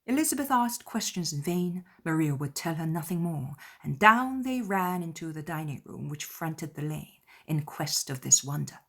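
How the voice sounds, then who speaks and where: A woman reading a classic novel as an expressive audiobook narrator, in scripted literary prose. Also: deep voice, moderate pace, creaky, hardly any pauses.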